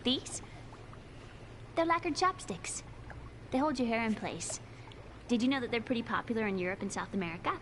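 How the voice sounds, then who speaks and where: A woman's voice: fairly high pitch, lively intonation, moderate pace, long pauses, fairly bright tone. An actress voicing an earnest, lonely young female computer expert in a video game, explaining things carefully. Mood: chatty. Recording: noisy game audio capture.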